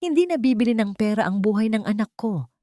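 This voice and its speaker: A woman's voice: medium pitch, animated, quick pace, warm tone, no echo, clean studio sound, voice pushed up, close to an everyday voice. A woman voicing a grieving mother in a story, cold, firm and defiant.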